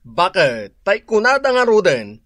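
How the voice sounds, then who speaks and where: A man's voice: high-pitched, wildly swooping pitch, a little slow, a bit bright, no room sound, studio quality, exaggerated voice. A man with an Ilocano accent playing a preachy, peace-seeking husband who scolds, in a radio drama. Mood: admonishing. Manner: admonishing.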